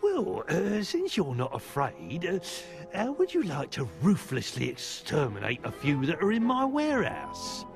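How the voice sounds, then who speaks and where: A nervous, vindictive merchant in a video game. His delivery is slow and theatrical, halting and then stretching words out. The pitch swoops about over a creaky voice. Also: wildly swooping pitch, faint music behind, exaggerated voice. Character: nervous, vindictive, beetle-phobic male shopkeeper. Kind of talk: video game cutscene dialogue.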